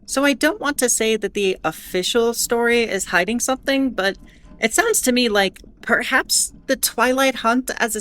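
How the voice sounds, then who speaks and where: A woman's voice: fairly high pitch, noisy background. A woman playing a wry, defiant bulletin host aboard a spaceship, narrating a scripted audio drama in a conversational, explanatory way. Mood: conspiratorial, doubting. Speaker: host of an in-world radio bulletin.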